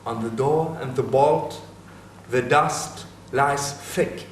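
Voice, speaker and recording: A man playing a dead man who slowly recounts the underworld in an ominous recital. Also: mid-range voice, lightly acted. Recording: echoey hall from a distance, faint noise.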